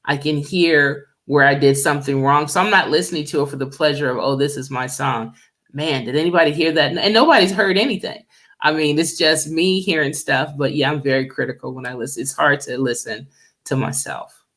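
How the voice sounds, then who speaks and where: Deep-voiced middle-aged woman, chatty guest. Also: fairly quick, a bit bright. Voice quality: deep low voice. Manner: easygoing, chatty, laughs often. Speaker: guest singer. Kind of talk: casual radio interview chat.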